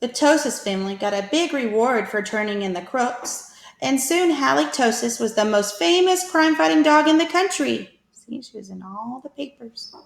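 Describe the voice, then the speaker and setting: Woman, teacher type, for children's read-aloud. Higher-pitched, expressive, deliberate storyteller; echoey room sound. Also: vocal fry.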